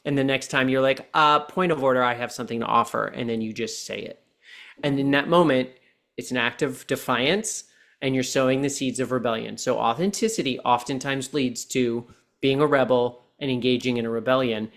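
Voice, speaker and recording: A man in his forties, a podcast guest and coach, talking earnestly and slowing down to stress his points. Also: mid-range voice, gravelly, a bit bright. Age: 40s. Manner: deliberate, earnest coaching tone, slows down for key points. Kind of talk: reflective podcast conversation.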